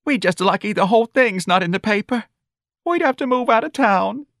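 A man's voice: a little high, a little fast, a bit bright, no room sound, studio quality, pitched above the performer's own voice, natural voice. A man voicing a weepy, exasperated working-class Southern mother in an audiobook, scolding plaintively in ungrammatical speech. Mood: tearful, wailing. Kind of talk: audiobook character dialogue.

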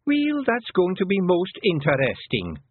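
Brief: man putting on a resentful young Scottish fairy woman, high and biting, husky grain, tinny dry line. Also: huge pitch swings, brisk, dry recording, full character voice. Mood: sharp. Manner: sharp, resentful. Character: young fairy woman, sulky and sharp-tongued. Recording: muffled phone-like dry recording.

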